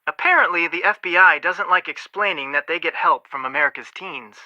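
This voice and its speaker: A man's voice: fairly high pitch, fairly quick, sharp tone, no echo, dull audio. An actor voicing a level-headed, protective young male amateur detective in a video game, speaking in an earnest, matter-of-fact way with dry wit. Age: young adult.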